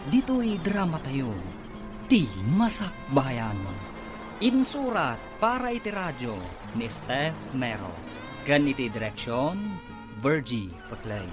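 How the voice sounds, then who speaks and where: A man narrates a radio drama as its announcer. His mid-pitched voice rises and falls dramatically. Music plays clearly behind him.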